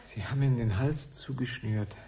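An actor in a German radio play playing an earnest, shaken man who speaks haltingly. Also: medium pitch, warm tone, close to an everyday voice. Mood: shocked. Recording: 1980s radio drama, faint noise.

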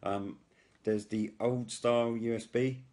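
A middle-aged British man giving a casual product demonstration in a halting, hesitant way, as a video host. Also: mid-range voice, fairly even intonation, darkish tone.

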